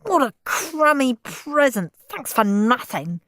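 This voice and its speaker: A woman's voice: sits high, very animated, takes it slowly, fairly bright tone, no room sound, studio quality, voice pushed up, exaggerated voice. A woman voicing a spoiled, stuck-up boy who screams and howls in a storybook reading. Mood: wailing tantrum. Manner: screaming, howling. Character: spoiled, whiny snobbish boy.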